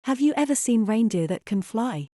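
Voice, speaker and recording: A woman playing a sensible, skeptical young mother in an audio drama, talking in a measured, firm way to a small child. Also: a little high, lively intonation, dark tone, dry recording, clean studio sound, close to an everyday voice. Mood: gently dismissive.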